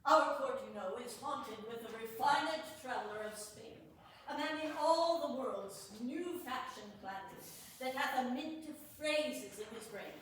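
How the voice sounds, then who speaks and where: A woman's voice: high-pitched, expressive, a little slow. A woman playing a lordly male courtier in a Shakespearean stage comedy, declaiming in a mock-pompous way. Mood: amused, grand. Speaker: amateur stage actor. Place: echoey room, distant mic at live stage reading. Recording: very echoey hall, distant mic, faint noise.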